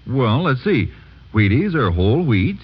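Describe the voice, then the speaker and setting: Lively, slightly husky American radio pitchman. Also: a little slow. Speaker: commercial announcer. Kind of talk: 1940s radio sponsor pitch, scripted banter. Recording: dull vintage radio, faint music.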